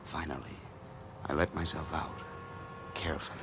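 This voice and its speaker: A man acting a wary, hardboiled man on the run in an old radio noir drama, narrating and talking in terse, deadpan slang. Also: extremely low pitch, moderate pace, noisy background. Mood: uneasy narration. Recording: old time radio recording with music behind.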